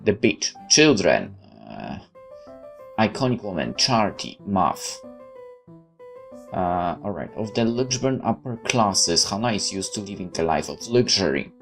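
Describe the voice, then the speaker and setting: A man's voice: deep voice, sing-song, a little slow, creaky, fairly bright tone, faint music behind. A man hosting a gaming video, reading visual novel text aloud with stumbles and exasperated, sweary asides.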